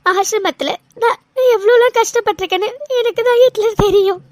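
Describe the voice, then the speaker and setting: A woman's voice: very high voice, normal speed, no echo. A young woman voicing a tearful young wife raised in an orphanage, hesitant and stammering, her voice choked with tears. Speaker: voice actor. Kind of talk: audio drama acting.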